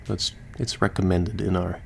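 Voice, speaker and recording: A man's voice: sits low, flat delivery, normal speed, creaky, darkish tone, slight background noise. A man playing a chatty, easygoing surgeon who patches up the undead, explaining casually as he works in a listener roleplay.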